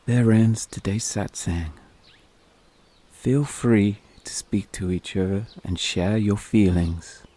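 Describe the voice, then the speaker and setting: A man voicing a serene, blissful male guru who gently leads a spiritual group in an audio story. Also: lowish voice, takes it slowly.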